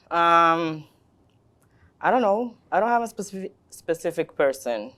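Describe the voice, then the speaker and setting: A woman's voice: on the low side, very animated, slow pace, fairly bright tone. A woman from the Republic, giving short, hesitant answers to a student interviewer in a school studio. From Dominican Republic.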